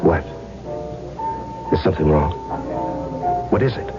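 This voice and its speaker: A man's voice: rumbling low, animated, a little slow, heavily acted. An American man acting in a 1970s radio drama as an earnest, pressing husband searching for his missing wife. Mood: concerned, pressing. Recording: dull old radio recording, loud music.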